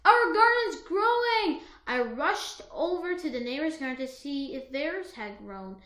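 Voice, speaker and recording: A woman co-reading a children's picture book aloud in an expressive, emphatic way. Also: high voice, a little slow, echoey room. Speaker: co-reader of a children's story.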